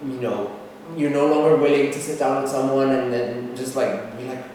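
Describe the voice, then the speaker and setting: A man's voice: mid-range voice, steady tone, normal speed, darkish tone. Man from Egypt, theatre director, reflective and casual; distant mic, big echoing room with faint noise. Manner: thoughtful, agreeing, frequent hums and fillers. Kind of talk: casual conversation. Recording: very echoey room heard from far off.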